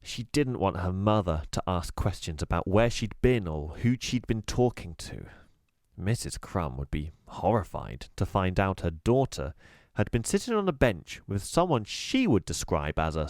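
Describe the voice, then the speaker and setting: A man reading a children's book aloud with expressive, lively storytelling. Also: deep voice, dry recording, clean studio sound.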